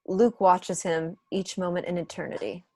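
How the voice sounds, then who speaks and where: A woman delivers scripted narration for a home table read. Her voice is a bit low for a woman, and the sound is dry with little room in it. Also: steady tone, moderate pace, mellow, no room sound. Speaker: reader of stage directions. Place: home room, on a video call.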